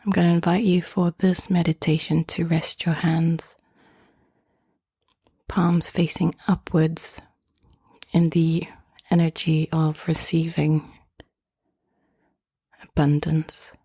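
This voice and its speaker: A woman hosting and leading a guided meditation in a soft, hushed, often half-whispered voice, with gentle and inviting phrasing. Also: deep voice, level tone, takes it slowly, pauses often, husky, no echo, clean studio sound.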